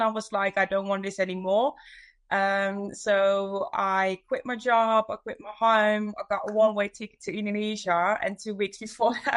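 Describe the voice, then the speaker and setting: A woman's voice: medium pitch, fairly bright tone. A young woman from the Netherlands with a Dutch accent, chatting casually as a guest in an interview. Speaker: podcast guest, women's coach.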